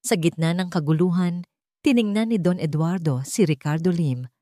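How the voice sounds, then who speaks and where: Lower-pitched woman narrating a dramatic story. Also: moderate pace, dark tone, no echo, studio quality.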